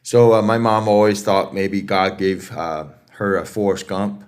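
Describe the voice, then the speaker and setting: Taiwanese guy in his 50s, really low voice, kinda halting, trips over words telling his story. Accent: Taiwanese Mandarin. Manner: halting, repeats words, loose grammar. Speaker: guest. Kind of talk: casual interview storytelling.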